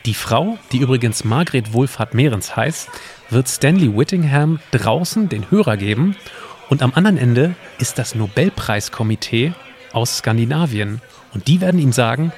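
Guy narrating an audio play, explains stuff to his buddy and listeners, mid-pitched voice. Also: expressive, moderate pace, darkish tone, faint music behind. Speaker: podcast host and co-creator of an exhibition audio play. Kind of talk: semi-scripted audio play narration and dialogue.

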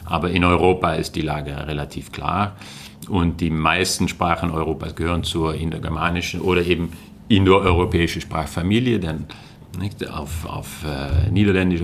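Deep gravelly man. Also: a bit bright, slight background noise. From Netherlands. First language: Dutch. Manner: hesitant, many fillers and restarts. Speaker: guest, linguistics lecturer. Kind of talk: casual interview answers.

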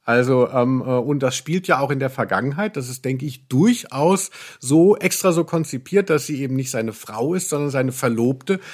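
A man co-hosting a podcast in a casual, ironic discussion, explaining things in a mock-erudite way. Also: mid-range voice. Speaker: podcast co-host, pop journalist.

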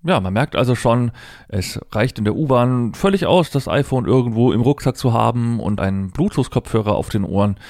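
A man's voice: mid-range voice, expressive, a little fast, a little mellow, no room sound. Man giving a dry, close-miked demonstration. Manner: informal, thinking aloud step by step. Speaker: presenter demonstrating assistive technology. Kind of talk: hands-on product demonstration, explanatory.

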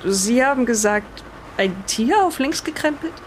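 A woman playing a sharp-tongued, grumbling island widow in an improvised audio drama. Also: a little high, animated, unhurried, slight background noise. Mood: puzzled.

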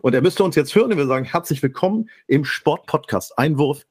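A man's voice: fairly high pitch, expressive, a little fast, a little mellow, breathy edge, dry recording. Brief: podcast host, male, slightly nasal from a cold, dry close mic, welcoming and formal. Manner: friendly, formal introductions. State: stuffy nose. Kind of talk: casual podcast talk. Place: home studio, remote call.

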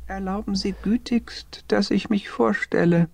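Creaky, sinister, courteous visitor. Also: male voice, sits high, steady tone, measured pace, no room sound, exaggerated voice. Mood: formal, sinister. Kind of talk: audiobook character reading.

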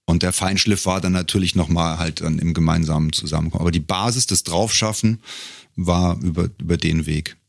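A middle-aged man telling stories as an interview guest in a casual, wryly humorous way, often pausing to find his words. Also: low voice, steady tone, creaky, no echo, clean studio sound. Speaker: podcast guest, a cappella singer and arranger.

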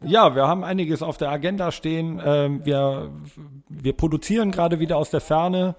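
A man's voice: fairly high pitch, steady tone, moderate pace. A man with a German accent hosting a casual podcast remotely from a room at home. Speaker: podcast host. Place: quiet room at home, on a remote video call.

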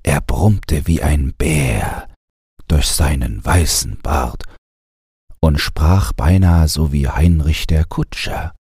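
Deep deep male narrator, soft mellow n breathy, slow bedtime story, dry close sound. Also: dry recording. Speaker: audiobook narrator.

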